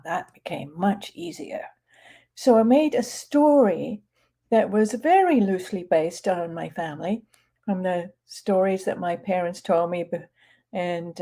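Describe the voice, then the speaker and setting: An older woman from England with a British accent, an author chatting casually about her book, relaxed and chatty with frequent pauses. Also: fairly low pitch.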